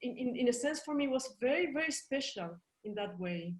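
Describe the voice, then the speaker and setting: Higher-voiced woman interviewer, echoey room. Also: animated, fairly quick. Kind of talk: casual conversational interview.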